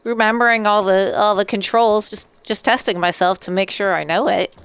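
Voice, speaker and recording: A woman's voice: medium pitch, steady tone, normal speed, fairly bright tone, smooth. A woman hosting a let's play with casual, playful commentary, chatting and reacting aloud.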